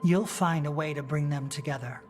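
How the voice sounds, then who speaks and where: An actor playing a supportive, earnest hero in a fantasy video game, speaking in an encouraging manner. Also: male voice, on the high side, moderate pace, darkish tone, faint music behind, lightly acted. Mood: reassuring. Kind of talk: video game cutscene acting.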